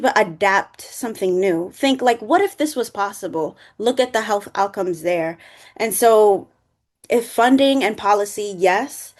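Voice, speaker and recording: A woman's voice: mid-range voice, a little mellow. Bronx woman running a zoom panel, fired up, lots of "like"s. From the Bronx, New York. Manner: passionate, quick, lots of "like" fillers. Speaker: conference moderator. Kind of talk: online panel discussion.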